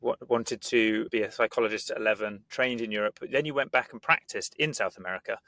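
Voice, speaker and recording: A man's voice: lowish voice, fast talker, pushed and bright, no echo. Male podcast host, close dry sound. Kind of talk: conversational interview hosting.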